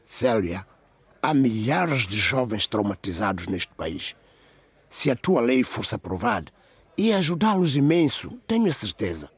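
A man's voice: medium pitch, big pitch swings, a little slow, husky, no echo, heavily acted. A man playing an earnest, insistent male police inspector in a radio drama, serious and pressing. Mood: urgent.

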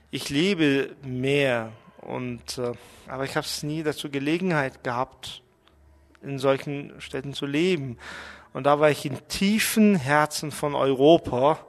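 A middle-aged man from Mongolia, speaking with a Mongolian accent and talking thoughtfully about his life, pausing as he searches for words. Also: medium pitch, in a large hall. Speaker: interviewee. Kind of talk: portrait interview, personal reflections.

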